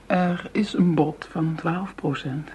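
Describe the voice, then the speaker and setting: An actress playing a cool, seductive dealer in shady money deals, poised and teasing, in a radio drama with some background noise. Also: female voice, low-pitched, steady tone, takes it slowly, dark tone, rough recording. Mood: poised, probing.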